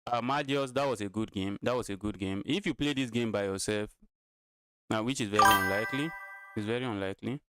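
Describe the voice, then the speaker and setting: A man's voice: lowish voice, fairly quick, sharp tone, faint music behind. A man in his twenties giving casual live-stream chess commentary, talking to his viewers and repeating his own phrases. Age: 20s.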